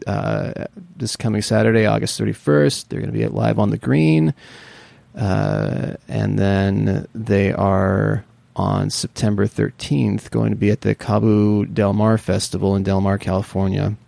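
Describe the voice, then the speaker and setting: A man's voice: lowish voice, flat delivery, unhurried, vocal fry, dark tone, dry recording. A man hosting a podcast, talking casually with lots of fillers and friendly questions. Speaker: podcast host. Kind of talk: casual interview and spoken intro.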